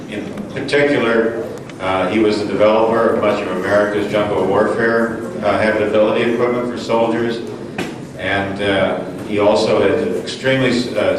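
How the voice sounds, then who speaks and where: A man's voice: fairly low pitch, recorded from a distance. A man introducing a speaker at a meeting, hesitant, with many fillers. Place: conference hall. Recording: very echoey hall, off-mic, noisy.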